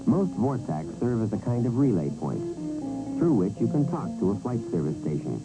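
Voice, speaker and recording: A man's voice: fairly low pitch, sing-song, normal speed, low-quality recording. A man narrating a vintage instructional training film in a scripted, wry and emphatic way. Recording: vintage 1970 film soundtrack with music.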